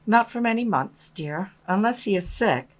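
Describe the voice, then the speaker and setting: An actress playing a loving, patient mother in a dramatised storybook, with a gentle and measured manner. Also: medium pitch, expressive, close to an everyday voice. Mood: patient, firm.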